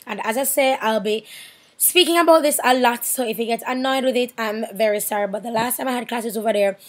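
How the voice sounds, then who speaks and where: A hoarse young woman with a Jamaican accent, rambling casually with many fillers in a makeup tutorial voiceover. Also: a little high, a little fast. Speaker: makeup artist.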